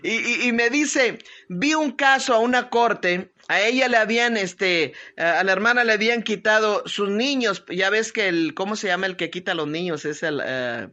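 A woman's voice: mid-range voice, expressive, normal speed, few pauses, lo-fi sound. A woman pastor with a strong voice, leading an informal devotional talk with prayers, greetings and conversational asides. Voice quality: strong, forceful voice. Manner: conversational, hesitant searching for words, punctuated with amens and tag questions. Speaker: pastor hosting a live online prayer broadcast.

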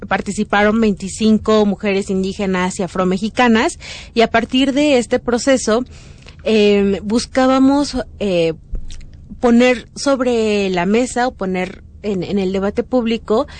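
A woman's voice: medium pitch, steady tone, unhurried, a little mellow. A young woman from Hidalgo with a central Mexican accent, explaining things as an interview guest and often searching for her words. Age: young adult. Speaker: interview guest, program officer.

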